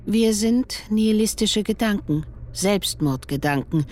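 A woman's voice: medium pitch, lively intonation, unhurried, darkish tone, close to an everyday voice. A woman playing a stern speaker who recites literary passages in a scripted radio play. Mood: sober.

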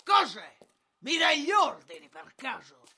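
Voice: sits high, sing-song, unhurried, bright, forceful tone, heavily acted. A performer acting a sneering, quarrelsome soldier ransacking a house in a radio drama, coarse and mocking. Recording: clearly noisy sound bed with clicks.

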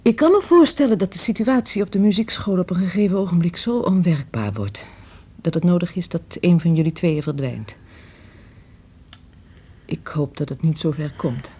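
Low, husky woman as a soothing friend. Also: animated, moderate pace. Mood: reflective, supportive. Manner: gentle, reassuring, earnest. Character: concerned, soothing, reflective female friend. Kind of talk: radio drama acting. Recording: dull, muffled old radio recording.

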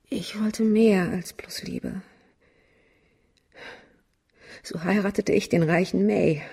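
An actress playing a bitter, world-weary murderess in a German radio crime drama, speaking haltingly and often sinking into whispers. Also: female voice, medium pitch, creaky, darkish tone, husky, exaggerated voice. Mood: pained, disgusted.

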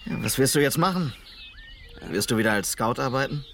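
An actor playing an idealistic, dutiful frontier police sergeant in a German western radio drama. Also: male voice, medium pitch, expressive, brisk, vocal fry, a bit bright, slight background noise. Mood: quiet, sincere.

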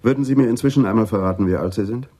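Guy playing a cop in a radio play, dry questions, deadpan, lowish mellow voice. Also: racing, lightly acted. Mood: probing, unimpressed. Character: dry, persistent Dutch male police detective. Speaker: interrogating police officer. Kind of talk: radio drama acting.

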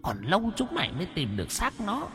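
A cruel demon child, played by a man, gloating and sneering. The pitch swings widely and climbs high over faint music, in horror audiobook manner. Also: wildly swooping pitch, quick pace, in a large hall, heavily acted. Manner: taunting, sneering.

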